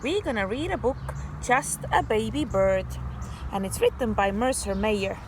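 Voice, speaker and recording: A woman teacher reading a story aloud to young children in an animated, deliberate, child-directed way. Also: on the high side, noisy background. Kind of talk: expressive children's read-aloud.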